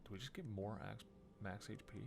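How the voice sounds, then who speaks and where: A man's voice: lowish voice, fairly quick, vocal fry. A man in his thirties giving casual let's play commentary, thinking aloud in muttered fragments from a small room at home. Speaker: video game streamer. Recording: loud game music behind voice. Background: video game music.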